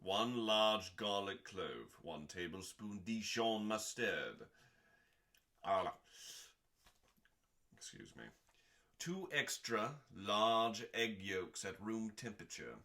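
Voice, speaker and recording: A man hosting a dramatic cookbook reading, delivering recipes in a measured, theatrical way with deliberate pauses and deadpan asides. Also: lowish voice. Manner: theatrical, measured, with pauses between short phrases.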